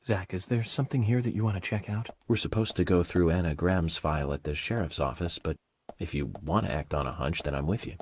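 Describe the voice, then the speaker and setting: An actor playing an eccentric, chatty FBI agent in a video game, musing in a measured, self-assured, theatrical way. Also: male voice, low-pitched, slight background noise, close to an everyday voice. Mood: conversational. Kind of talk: scripted game dialogue, monologue to an unseen companion. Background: game music.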